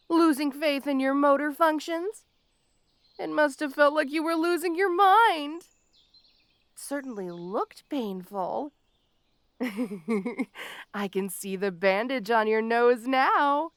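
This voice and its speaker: High-pitched, gloating female supervillain. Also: a bit bright, dry recording, clean studio sound, exaggerated voice. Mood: cruel gloating. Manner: theatrical, taunting, mock-sweet, drawn-out words. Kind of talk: scripted audio roleplay addressed to the listener.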